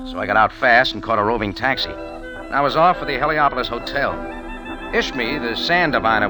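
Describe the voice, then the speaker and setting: A man's voice: mid-range voice, close to an everyday voice. An American man playing a wisecracking, world-weary hero in a hardboiled old radio drama, sardonic and clipped. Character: wisecracking, tough, world-weary cafe-owner adventurer hero. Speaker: lead actor and narrator. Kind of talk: 1940s-50s hardboiled radio drama narration and acting.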